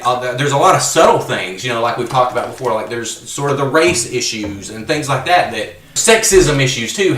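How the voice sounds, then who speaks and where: A man's voice: mid-range voice, a bit bright, recorded from a distance. A man co-hosting a podcast, chatting loosely at a table and rambling with plenty of fillers. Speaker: co-host. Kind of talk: casual roundtable chat. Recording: echoey room, away from the mic.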